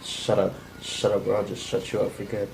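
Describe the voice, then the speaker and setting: A man reading as a gravelly, menacing bully. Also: on the low side, brisk, low-quality recording. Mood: threatening. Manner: flat, unpolished amateur reading. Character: menacing, bullying man demanding car keys. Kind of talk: cold table read of a film script. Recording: faint background noise.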